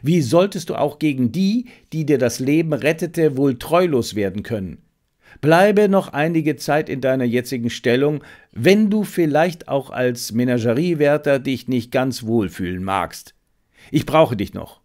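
A male narrator reads as an anxious, secretive baroness in a detective audiobook. The letter is delivered formally and slowly, with a lively range of pitch. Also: lightly acted. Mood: guarded, pleading. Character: secretive, anxious baroness writing to her servant.

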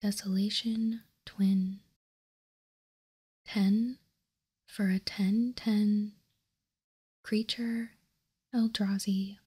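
A woman whispering in a breathy, calming, measured way, as an ASMR host reading out and commenting on trading cards. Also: medium pitch. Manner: soft, slow, measured, calming. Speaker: host of a card ASMR channel.

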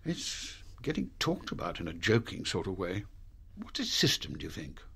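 An actor playing an authoritative, gruff British spy chief in a radio drama, clipped and commanding. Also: male voice, low-pitched, very animated, normal speed, a bit bright, exaggerated voice. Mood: confiding.

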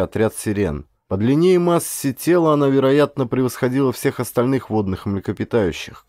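A man narrating a historical adventure audiobook in an expressive, theatrical way with deliberate pauses. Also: lowish voice, no echo, studio quality.

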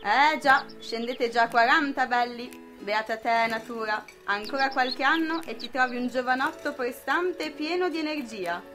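A young woman, a student actor playing a sardonic, scolding, sharp-tongued wife in an amateur radio drama. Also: sits high, moderate pace, hardly any pauses, faint music behind. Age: young adult.

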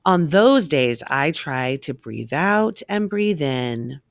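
A woman reading a rhyming children's picture book aloud in an expressive, measured storytelling manner. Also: deep voice, no echo, studio quality.

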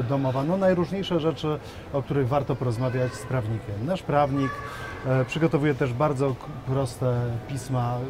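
A man in his thirties with a mid-range voice, explaining things in a measured way with hesitant fillers. Clear shopping-mall noise sits behind him. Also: mid-range voice. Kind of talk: interview answers. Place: shopping mall seating area, indoors.

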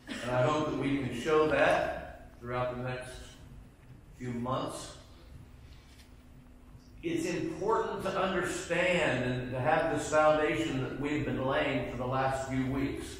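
A man's voice: medium pitch, a little hiss or hum. A middle-aged man teaching a Bible class in a church sanctuary, emphatic and often putting questions to the class. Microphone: far camera at the back of the room. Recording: echoey room from far off.

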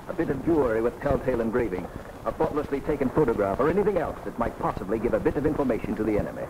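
A man's voice: mid-range voice, darkish tone, close to an everyday voice. An American man narrating a 1940s military training film in scripted instructional style. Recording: noisy old 1940s film soundtrack.

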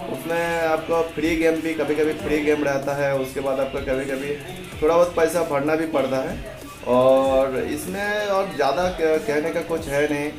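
A man gives an informal, repetitive voice-over explanation, addressing viewers as friends. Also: mid-range voice. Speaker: host of a welding advice video. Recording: roomy echoing voice-over with music behind. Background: background music.

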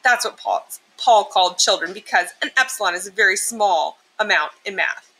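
A woman's voice: medium pitch, normal speed, rough recording. A woman reading a children's picture book aloud expressively, explaining and emphasizing things for young listeners. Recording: clear steady background noise.

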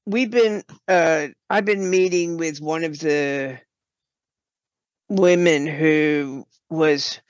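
Slow, halting woman interview guest. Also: sits low, no room sound, lo-fi sound. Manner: halting, with broken-off sentences. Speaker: guest, writer and audio drama producer. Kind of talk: podcast interview, explaining her work.

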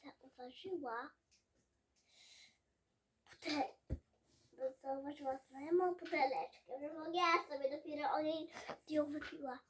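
A child telling a story aloud in a halting way, repeating words and stumbling. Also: high-pitched, steady tone, background noise.

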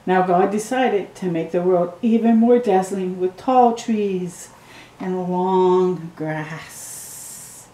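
A middle-aged woman reading a picture book aloud to children at home, slowly and gently, with excited asides to the viewer. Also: lowish voice, roomy sound, a little hiss or hum. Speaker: storytime reader. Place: living room at home.

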